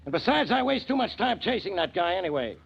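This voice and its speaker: An actor playing a gruff, impatient male police inspector in a 1940s radio drama, with a husky, gravelly voice and brusque manner. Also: high-pitched, sing-song, fast talker, lo-fi sound, exaggerated voice.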